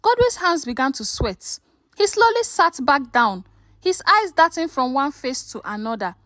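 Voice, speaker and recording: A woman's voice: sits high, sing-song, moderate pace, fairly bright tone. A woman storyteller narrating a scripted story in a dramatic way.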